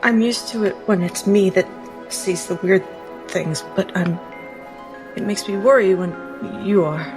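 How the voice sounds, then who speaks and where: A woman's voice: mid-range voice, measured pace. Concerned woman roleplaying over music. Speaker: tabletop role-playing player. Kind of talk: in-character roleplay. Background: background music.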